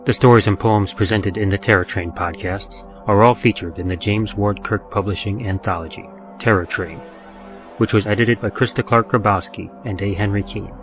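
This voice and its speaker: A man narrating and producing a horror podcast, reading in a low, measured scripted style. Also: steady tone, muffled recording, soft background music. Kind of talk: scripted radio drama narration.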